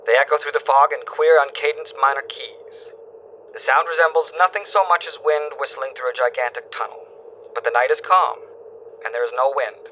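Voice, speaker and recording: An actor playing a doomed wire operator who reports horrors in solemn, theatrical, formal phrases through a radio filter, in a horror drama. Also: male voice, medium pitch, moderate pace, a little hiss or hum. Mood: grave reporting. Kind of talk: dramatic horror story dispatch reading. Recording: thin phone-like radio band. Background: music.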